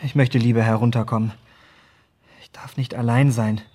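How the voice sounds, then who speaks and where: An actor in a German radio drama playing an elegant, pleasure-seeking young gentleman with a breathy voice. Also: mid-range voice, steady tone, normal speed, natural voice. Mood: shaken, fearful.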